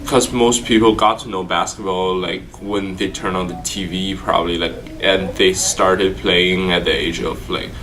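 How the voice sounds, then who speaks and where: Deep young man. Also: steady tone, normal speed, hardly any pauses, fairly bright tone, poor audio. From China. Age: young adult. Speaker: student.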